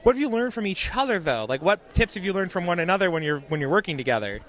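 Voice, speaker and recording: A man interviewing cosplayers at a fan convention, cheerful and quick, moving between questions with easy transitions. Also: high-pitched, very animated, fairly bright tone.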